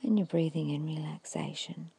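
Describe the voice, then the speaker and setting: A woman giving an ASMR guided hypnosis in a hushed, breathy whisper, slowly and soothingly instructing with long pauses. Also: low-pitched, fairly even intonation, no room sound. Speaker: hypnotist guiding a meditation.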